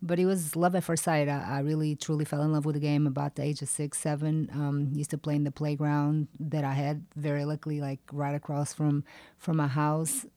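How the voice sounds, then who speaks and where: A woman in her forties from Portugal, a former athlete with a Portuguese accent, answering interview questions in a relaxed way with frequent fillers. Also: low-pitched, fairly quick, no echo. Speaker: guest, retired basketball player.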